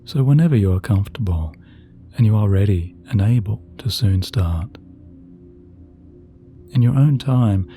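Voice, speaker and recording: A man narrating a sleep meditation in a soft, gentle, soothing voice with slow, measured phrasing. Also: on the low side, expressive. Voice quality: soft, very dark and mellow. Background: relaxing background music.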